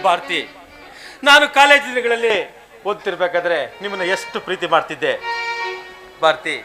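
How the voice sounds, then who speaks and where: An actor playing a heartbroken young man who laments his lost love in melodramatic, pleading, poetic speech, over loud background music. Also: high-pitched, big pitch swings, normal speed, heavily acted. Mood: reproachful. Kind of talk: audio drama acting.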